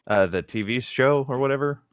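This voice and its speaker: A man's voice: medium pitch, a little fast, clear, resonant tone, dry recording. A man co-hosting a podcast, chatting casually about a book with wry, loose reactions. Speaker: podcast co-host.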